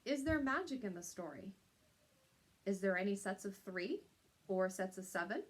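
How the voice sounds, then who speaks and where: A woman's voice: medium pitch, expressive, normal speed. A woman teacher giving an instructional lesson to young pupils, explaining step by step and checking in with little tag questions.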